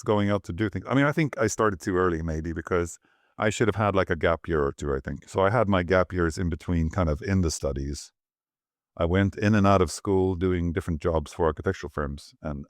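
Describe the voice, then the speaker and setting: Middle-aged man, deep voice, dead-dry sound; relaxed, rambling interview guest. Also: no room sound. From Sweden. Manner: rambling, thinking aloud with fillers and restarts. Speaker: architect, guest.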